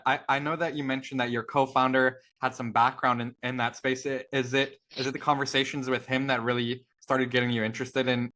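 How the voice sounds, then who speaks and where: A man hosting a podcast interview, asking questions in a measured way with occasional stammered restarts. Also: medium pitch, pushed and bright. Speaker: podcast host.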